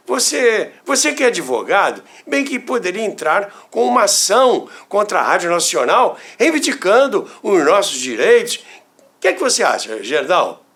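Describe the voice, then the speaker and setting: A man's voice: high-pitched, very animated, moderate pace, fairly bright tone, voice pushed up, heavily acted. Man acting aggrieved Brazilian radio veteran, swooping intonation. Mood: indignant, coaxing. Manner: indignant, insistent, exclamatory. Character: aggrieved male veteran radio actor, pleading his case.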